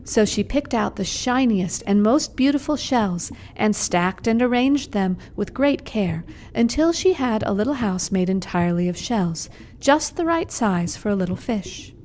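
A woman's voice: mid-range voice, expressive, measured pace, darkish tone, a little hiss or hum. Woman narrating a children's puppet show. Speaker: storyteller, puppeteer. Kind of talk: scripted children's story narration.

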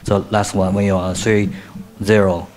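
A man's voice: on the low side, little pitch movement, moderate pace, darkish tone, slight background noise. A male reporter asking a hesitant question at a press conference, with "uh" fillers.

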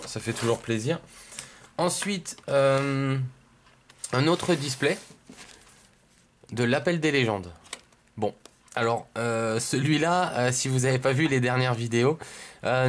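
A man's voice: mid-range voice, a little hiss or hum. A man from giving casual, hesitant, rambling unboxing commentary indoors at a table. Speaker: video host, card collector.

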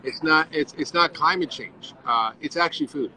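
A man of sixty talking earnestly and deliberately on a live video call from outdoor café seating, using his phone at arm's length. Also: fairly high pitch, pushed and bright, a little hiss or hum, low-quality recording. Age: 60s. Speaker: guest, physician. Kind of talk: casual live video chat. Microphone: phone front camera at arm's length.

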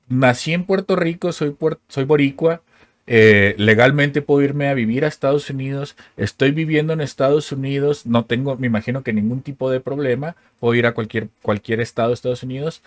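A man's voice: medium pitch, dry recording. Curious man host, dry close sound. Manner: curious, thinks aloud with fillers. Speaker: podcast host. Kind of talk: casual interview questions. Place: home room, indoors. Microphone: studio mic on a stand, with headphones.

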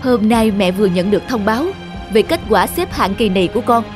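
A woman's voice: medium pitch, a little fast, music in the background, lightly acted. A woman playing a stern, worried mother in a cartoon dub. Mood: stern, disappointed. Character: stern, worried mother scolding her son. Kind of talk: Vietnamese cartoon dubbing.

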